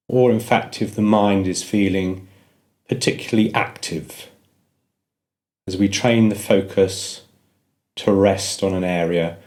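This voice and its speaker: Male meditation guide, low-pitched voice, gentle measured delivery with pauses.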